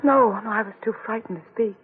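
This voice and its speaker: A woman's voice: a little high, fast talker, breathy edge. A frightened amnesiac young woman. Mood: pleading. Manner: anxious, breathless, pleading. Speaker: detective's client. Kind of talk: 1940s radio acting. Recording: tinny old radio broadcast.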